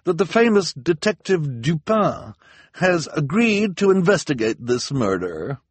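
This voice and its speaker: A man's voice: a little high, very animated, unhurried, husky, no room sound, voice pushed up, exaggerated voice. A man voicing a bossy, pompous policewoman in a storybook, with a grand, declamatory manner. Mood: grand, official.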